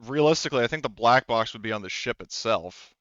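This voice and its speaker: A man's voice: mid-range voice, animated, quick pace, fairly bright tone, no echo, muffled recording. A man narrating a tabletop game as game master in a casual, rambling, explanatory way.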